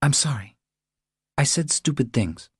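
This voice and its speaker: A man voicing a small, cowed, desperate woman in an audiobook, pleading in an urgent, breathy way. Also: mid-range voice, lively intonation, unhurried, dry recording, studio quality, pitched above the performer's own voice. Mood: apologetic, anxious.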